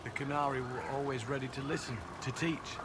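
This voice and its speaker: A male elf spy from a fantasy game, played by a man, speaks in a medium-pitched voice. He is dry and guarded, with a quiet earnestness under the matter-of-fact delivery. Clear ambient noise sits behind him. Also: steady tone, fairly quick, lightly acted. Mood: earnest, reflective. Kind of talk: video game cutscene acting. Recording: game capture with loud ambient background noise.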